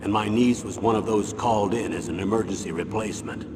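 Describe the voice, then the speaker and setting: An actor playing a stern, persuasive ageing army colonel in a video game, grave and deliberate as he briefs. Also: on the low side, lightly acted. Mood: earnest, persuasive. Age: middle-aged. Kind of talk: video game cutscene voice acting. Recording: long reverb, clear background noise.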